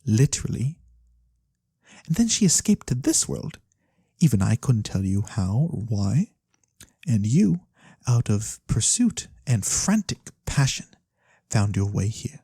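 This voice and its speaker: A performer voicing a mysterious, courteous fantasy lorekeeper in a soft-spoken ASMR roleplay, breathy and theatrical with knowing pauses. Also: medium pitch, big pitch swings, no echo, heavily acted. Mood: knowing, hushed. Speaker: storyteller character.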